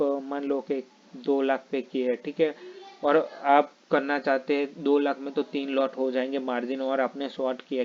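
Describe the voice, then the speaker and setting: A man whose first language is not Hindi, explaining options trading in a halting, filler-heavy way and often checking in with "ठीक है". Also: medium pitch, darkish tone, highs cut off. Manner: halting, many fillers and restarts, repeats "ठीक है". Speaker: trading teacher.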